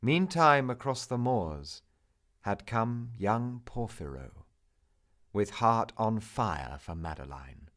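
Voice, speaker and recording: A man narrating a poem in a theatrical, measured manner, swelling for emphasis and hushing at line endings. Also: lowish voice, very animated. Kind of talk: dramatic narrative poetry recital.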